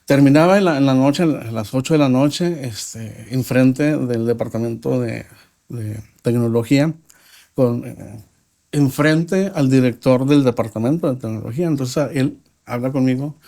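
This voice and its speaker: Mellow-voiced Mexican man telling his story. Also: medium pitch, gravelly. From Mexico (Nuevo Laredo). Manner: reflective, halting storyteller with frequent pauses. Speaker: podcast guest. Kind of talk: personal testimony in a podcast interview.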